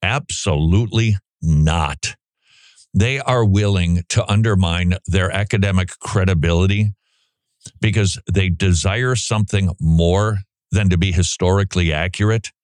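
A man hosting a radio talk show, delivering animated, mocking commentary and reading passages aloud. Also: low-pitched, measured pace, no echo, clean studio sound. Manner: animated, mocking, sarcastic asides.

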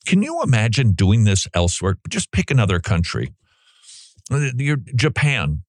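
A man behind a studio mic, hosting a talk radio show. He swings his pitch widely as he mocks and reads aloud. The sound is close and dry. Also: normal speed, no echo. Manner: animated, mocking, sarcastic asides. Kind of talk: commentary on a curriculum, reading excerpts aloud.

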